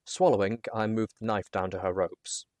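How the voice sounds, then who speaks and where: A man reading a first-person adventure story aloud as narrator, in an expressive, dramatic manner. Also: fairly low pitch, normal speed, dry recording.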